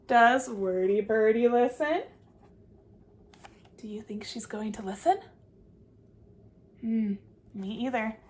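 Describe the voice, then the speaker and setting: A woman reading a picture book aloud as a teacher, expressive and putting questions directly to young listeners. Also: on the high side, slow, pauses often, slight background noise. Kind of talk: animated children's read-aloud with questions.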